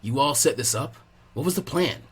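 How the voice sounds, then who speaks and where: A man voicing a gruff, derisive, smirking ninja instructor in an anime fan story. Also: mid-range voice, animated, normal speed, fairly bright tone. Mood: caught off guard.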